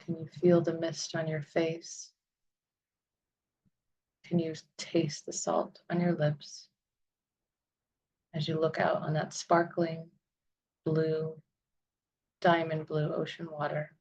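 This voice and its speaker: Female meditation guide: very slow, soothing, lowish voice, long pauses, slightly muffled lo-fi recording. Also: little pitch movement, darkish tone.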